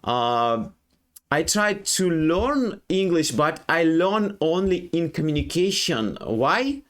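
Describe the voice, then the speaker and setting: A young Ukrainian man with a Ukrainian accent, speaking English as a second language in a halting personal monologue full of fillers and restarts. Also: fairly high pitch, very animated. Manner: halting, many fillers and restarts, non-native grammar. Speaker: streamer.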